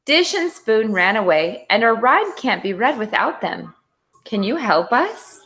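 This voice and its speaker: Storybook cat, played by a woman: bossy, fretful, insistent, with big leaps in pitch. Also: very animated, unhurried, a bit bright. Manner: insistent, dramatic, urgent.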